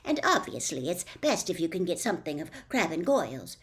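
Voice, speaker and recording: Storybook schoolgirl witch, acted by a woman: brisk, smooth-talking, bossy and clever, very animated intonation. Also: fairly low pitch. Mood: brisk, assured. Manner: brisk, matter-of-fact, smooth.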